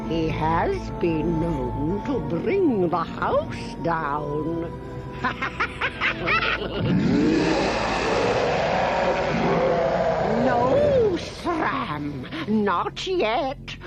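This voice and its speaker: Cackling, theatrical old android villainess. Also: female voice, mid-range voice, huge pitch swings, extremely slow, pauses often, full character voice, poor audio. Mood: gloating, menacing. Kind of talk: puppet sci-fi series villain acting. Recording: music behind the dialogue.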